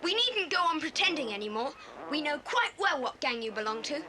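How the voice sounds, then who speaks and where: A woman's voice: high voice, big pitch swings, brisk, crowd noise. A woman playing a bold, bossy boy who leads an adventure in a children's audio drama. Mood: defiant. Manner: decisive, commanding. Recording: faintly hissy old cassette tape.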